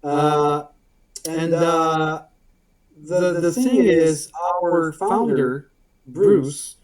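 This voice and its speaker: A man's voice: on the high side, drawn-out words, a little mellow. A man from Portugal with a Portuguese accent and Portuguese as his first language, giving a friendly, self-deprecating guest presentation and laughing easily. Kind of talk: online presentation. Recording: echoey room, distant from mic.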